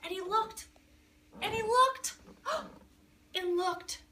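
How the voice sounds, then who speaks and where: A woman reading a picture book aloud to a young child in an expressive, dramatic way, pausing to chat and ask questions. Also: very high voice, far from the mic, a little hiss or hum. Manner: expressive, with dramatic gasps and emphasis.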